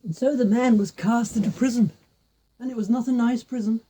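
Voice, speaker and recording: A woman's voice: on the high side, fairly even intonation, a little fast, mellow, husky, low-quality recording. A woman telling a folk tale informally, with repetition and little spoken asides. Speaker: storyteller with puppet figures.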